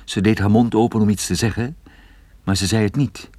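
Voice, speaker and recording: A man's voice: fairly low pitch, fairly even intonation, a little fast, mellow, no room sound, natural voice. Een man die als privédetective in een hoorspel zijn zaak navertelt, strak en gespannen. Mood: tense narration. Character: male private detective recounting his case, tense and terse. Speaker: first-person narrator. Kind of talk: scripted radio drama narration.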